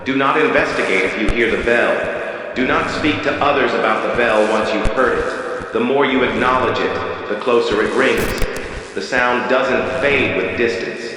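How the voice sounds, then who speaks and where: A man's voice: mid-range voice, sharp tone, lightly acted. Man acting as grave announcer in cavernous echo. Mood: grave warning. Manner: solemn, measured, ominous. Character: grave male official announcer of an eerie emergency broadcast. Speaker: emergency broadcast announcer. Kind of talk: scripted analog horror public safety announcement. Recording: cavernous echo and reverb, noisy tape.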